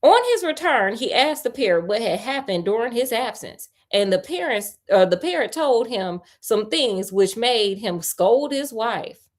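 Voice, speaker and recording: An animated woman storyteller reads aloud to her livestream. Her pitch moves freely as she slips between narration and playful, slangy commentary. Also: unhurried. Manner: expressive, playful, colloquial commentary. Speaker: storyteller host.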